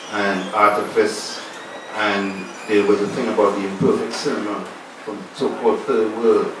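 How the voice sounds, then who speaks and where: A man holds forth on a festival panel with passionate, declamatory force, his voice fairly low. He is picked up from a distance, with heavy room echo and steady background noise behind him. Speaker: filmmaker on a panel. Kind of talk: impassioned panel answer. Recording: echoey room, far from mic, background noise.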